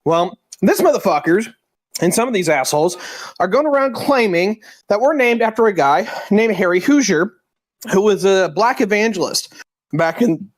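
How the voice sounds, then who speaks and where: Guy w a high voice going off on a rant, lots of swearing, casual chat. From Indiana. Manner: profane, heated ranting.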